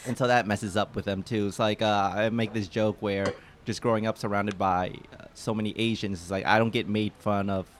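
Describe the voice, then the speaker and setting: A man's voice: lowish voice, fairly even intonation, brisk, slight background noise. A man co-hosting a podcast in casual chat, rambling and laughing through his own jokes. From San Jose, California. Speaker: podcast co-host.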